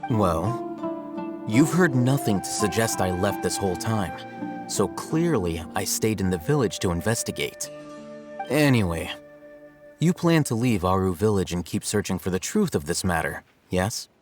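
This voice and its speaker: A man voicing an aloof, coolly logical young scholar in a video game, measured, condescending and matter-of-fact. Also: deep voice, lively intonation. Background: guitar music.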